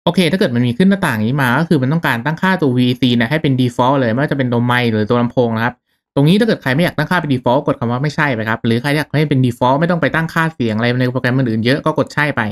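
A man hosting a casual software tutorial, talking through each step in a polite, instructional manner. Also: medium pitch, racing.

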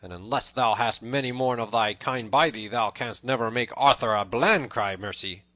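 A man's voice: on the low side, steady tone, moderate pace, dry recording. Man acting bold, defiant stout tanner. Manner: loud, defiant, archaic retorts.

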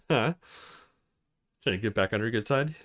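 A man hosting a let's play, reading game dialogue aloud and reacting to it in an animated way. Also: mid-range voice, slow pace, dry recording, clean studio sound.

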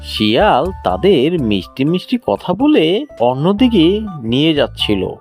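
A woman's voice: deep voice, big pitch swings, measured pace, a little mellow, faint music behind. A woman narrating a children's cartoon story in a scripted storytelling style.

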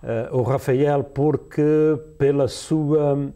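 This is a man in his sixties with a European Portuguese accent and a warm, mellow tone of middling pitch. He talks slowly and haltingly in a studio interview, with many fillers, restarts and easy laughs. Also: fairly even intonation. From Azores, Portugal. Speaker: musician guest. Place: TV studio set, seated in a leather chair.

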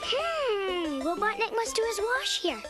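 An eager young fox boy chirps in a very high, lively voice, as a performer acts him out. He speaks slowly in a cartoon fan dub. Loud music plays behind him. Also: exaggerated voice.

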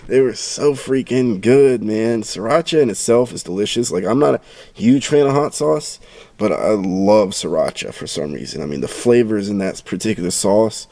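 A man in his thirties with a fairly low voice talks through a home recipe in a loose, chatty way, full of ums and quick restarts. The recording is dry and close, with almost no room sound. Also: gravelly, darkish tone, dry recording. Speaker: home cooking vlogger. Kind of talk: casual cooking instructions and tasting. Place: parked car and home dining room.

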